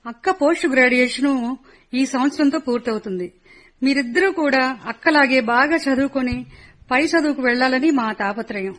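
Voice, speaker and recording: A woman's voice: sits high, brisk, a bit bright. An actress playing a caring middle-aged mother of three in a radio play, scolding fondly. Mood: fussing. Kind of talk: radio drama acting.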